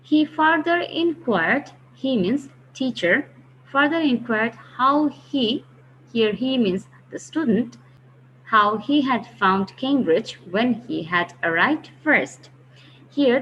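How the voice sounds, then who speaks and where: A woman teaching a grammar lesson, patiently explaining step by step and slowing down to read example sentences. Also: fairly high pitch, expressive, a bit bright, dull audio, slight background noise. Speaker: English teacher.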